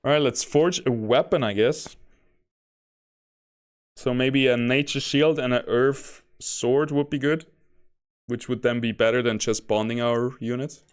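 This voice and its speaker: Soft-spoken man rambling over gameplay. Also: mid-range voice, unhurried, clear, resonant tone. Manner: self-described monotone, soft bedroom voice; rambling, chuckles between remarks. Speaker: game streamer. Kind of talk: casual gameplay commentary. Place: home.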